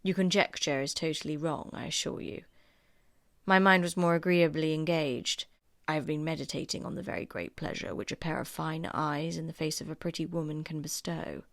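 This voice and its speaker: Female narrator playing a proud young gentleman, cool, clipped, formal, lowish pitch, dry recording, audiobook. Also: normal speed, no echo. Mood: composed, dry. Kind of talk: audiobook character acting.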